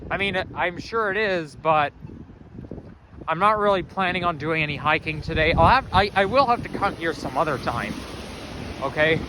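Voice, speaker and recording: A man with a loud voice chatting as he walks through town, rambling and thinking aloud with small restarts, filming a casual walking vlog outdoors. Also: on the high side, expressive, moderate pace. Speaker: vlogger. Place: snowy city street outdoors. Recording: street noise and wind on mic. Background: traffic and wind.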